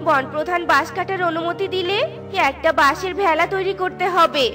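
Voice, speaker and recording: A caring, brave mother bird from a cartoon played by a woman. Her voice is extremely high, with an earnest, urgent delivery. Music sits clearly behind it. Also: normal speed, bright, forceful tone. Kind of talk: Bengali cartoon voice acting.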